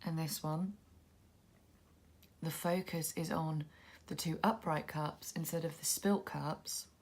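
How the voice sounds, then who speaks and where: A woman's voice: deep voice, slow pace. A woman with a cold, sniffly and a little congested, musing casually as she walks through a tarot deck. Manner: relaxed, musing, thinking aloud. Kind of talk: casual walkthrough commentary.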